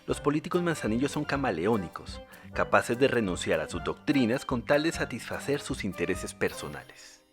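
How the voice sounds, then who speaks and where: A man narrates a scripted radio documentary. His pitch is mid-range for a man, and light music plays behind him. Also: very animated, moderate pace.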